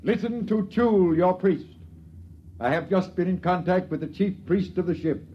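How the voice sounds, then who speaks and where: A solemn, dogmatic priest of a starship, voiced by a man, from a vintage radio drama. His voice sits a little high and grandly proclaiming. The dull, lo-fi broadcast carries a light hiss. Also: moderate pace, natural voice. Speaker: character in a 1950s radio play. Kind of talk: 1950s radio acting.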